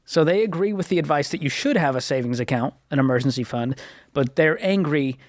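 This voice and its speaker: A man's voice: fairly high pitch, expressive, fairly quick, no echo, clean studio sound. A man co-hosting a radio talk show, reading listener comments and chatting in a jokey, upbeat way. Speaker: co-host.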